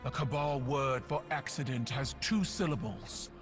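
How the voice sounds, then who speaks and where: A proud alien warrior, voiced by a man, stern and declamatory. The voice is medium in pitch and slow, in grand game cutscene style over clear music. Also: close to an everyday voice. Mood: cold, accusing. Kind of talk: dramatic video game cutscene acting.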